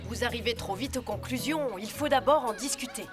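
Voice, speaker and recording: An earnest young male lion cub from a cartoon voiced by a woman. The voice is on the high side, reasoning like a fair-minded peacemaker, with music underneath. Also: a little fast, lightly acted. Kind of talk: French cartoon dubbing. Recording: music behind the voice.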